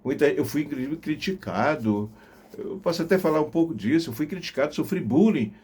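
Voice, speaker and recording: A man's voice: fairly high pitch, animated, fairly quick, darkish tone, slightly rough. A middle-aged man, reminiscing to viewers like a veteran radio announcer, with emphatic, theatrical storytelling. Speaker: veteran radio announcer telling career memories on his channel.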